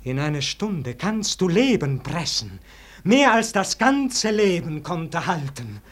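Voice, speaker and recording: A man's voice: a little high, big pitch swings, slow, fairly bright tone, full character voice. An actor playing a brooding, exalted dying nobleman in a vintage German radio verse drama, declaiming theatrically. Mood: ecstatic surrender. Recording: old radio recording with faint noise.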